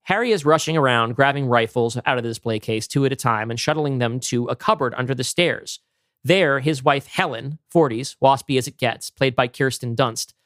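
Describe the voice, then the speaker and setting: A man's voice: mid-range voice, fairly bright tone, no room sound, studio quality. A man co-hosting a podcast, talking casually and narrating a script he wrote. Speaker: podcast co-host and writer.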